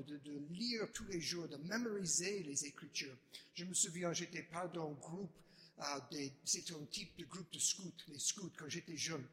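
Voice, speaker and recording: A man from the United States with an American accent, speaking halting second-language French as a guest giving a testimony, restarting often and slipping in English words. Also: on the high side, sharp tone. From United States (Chicago). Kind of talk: unscripted personal testimony.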